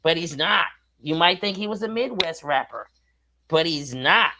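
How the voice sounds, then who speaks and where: A man's voice: fairly high pitch, expressive, moderate pace, vocal fry, a crowd in the background. A man guesting on a podcast as a rapper, talking emphatically and driving his points home. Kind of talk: casual interview chat.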